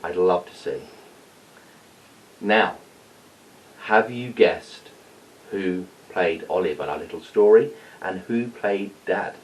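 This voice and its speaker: Man, lowish pitch, distant dull recording, encouraging teacher, children's storytelling, informal address. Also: slow, long pauses. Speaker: teacher reading a story to pupils. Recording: dull sound, distant from mic.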